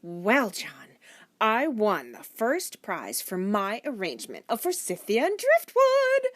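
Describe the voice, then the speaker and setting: A patient, indulgent mother as a woman narrator plays her in a children's storybook. She sounds polite and composed, with a fairly high, very expressive voice recorded dry. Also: takes it slowly, no echo, heavily acted. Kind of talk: children's audiobook character voice.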